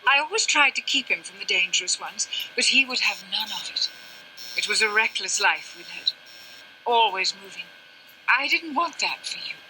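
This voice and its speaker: A woman playing a sharp-tongued, weary, dying older mother in a video game, with haughty, theatrical, genteel phrasing. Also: medium pitch, animated, moderate pace, a little hiss or hum, low-quality recording. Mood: regretful. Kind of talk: dramatic scripted game dialogue.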